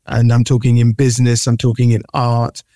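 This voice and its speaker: A man's voice: mid-range voice, a little fast, no echo, studio quality. A middle-aged man, born in Nigeria and raised in the UK, talking as a guest in a casual interview, full of repeats and restarts. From born in Nigeria, raised from age eight in the UK. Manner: animated storyteller with repeated words and restarts.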